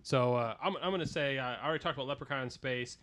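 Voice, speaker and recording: A man's voice: mid-range voice, very fast, fairly bright tone. A man hosting a pop culture podcast in a casual, chatty group discussion. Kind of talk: casual panel chat.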